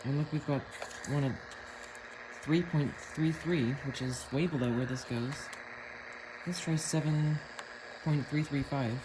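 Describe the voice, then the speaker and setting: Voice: medium pitch, steady tone, a little slow. Musing vlogger in a noisy recording. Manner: musing, thinking aloud. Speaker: vlogger reviewing a radio. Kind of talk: casual hands-on demonstration talk. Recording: noisy home video, radio static behind. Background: shortwave radio hiss and whining.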